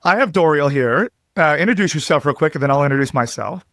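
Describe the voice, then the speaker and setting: Brief: man, 30s, upbeat banter host, slightly high and very animated voice, dry sound. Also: quick pace, dry recording. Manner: upbeat, bantering, laid-back. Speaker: podcast host. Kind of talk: casual chat.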